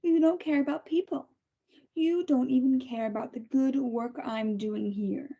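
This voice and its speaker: A woman's voice: high voice, fairly even intonation, unhurried, creaky, dark tone, dull audio. A woman reading a cold, self-righteous captor at a table read, making clipped, controlled threats. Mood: contemptuous.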